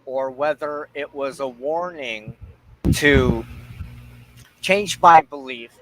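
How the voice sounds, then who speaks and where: A man hosting a reflective spiritual discussion, talking in halting, deliberate short phrases. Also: mid-range voice, a bit bright, a little hiss or hum, low-quality recording. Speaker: host of a spiritual discussion show.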